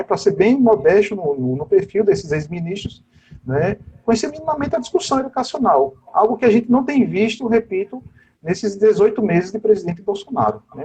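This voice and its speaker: Husky man talking at length. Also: sits high, a little mellow, poor audio. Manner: discursive, with constant tag questions. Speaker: interviewed teacher. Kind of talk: political interview over a live stream.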